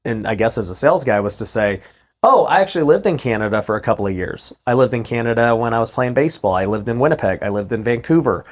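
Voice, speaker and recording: A man's voice: medium pitch, quick pace. A man hosting a podcast, chatting casually and agreeably with his guest, dropping "bro" and "like". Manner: relaxed, agreeable, says "bro" and "like". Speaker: podcast host.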